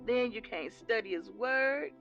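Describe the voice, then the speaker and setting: An actress playing a devout mother who softly counsels her son, calling him baby. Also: fairly high pitch, animated, a little slow, creaky, lo-fi sound, faint music behind, exaggerated voice. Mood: pleading. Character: devout church mother, older woman, gently firm. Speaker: mother of the lead. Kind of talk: audio drama acting.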